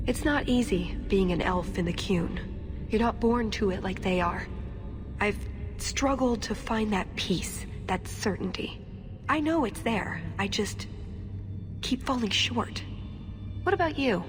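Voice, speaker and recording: Casting: a woman acting an idealistic female elf agent for a fantasy game, mid-pitched, earnest, reverberant sound. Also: expressive, unhurried, lightly acted. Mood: earnest, wistful. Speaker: video game character. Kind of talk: game cutscene dialogue. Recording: reverberant, music under the voice. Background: music.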